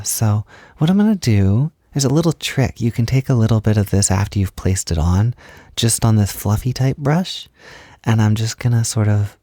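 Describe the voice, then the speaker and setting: A man speaking softly and intimately in a roleplay, gentle and reassuring as if guiding someone through a task, at times close to a whisper. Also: lowish voice, vocal fry, dry recording. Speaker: roleplay audio performer. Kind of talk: soft-spoken intimate roleplay, like a personal makeup tutorial.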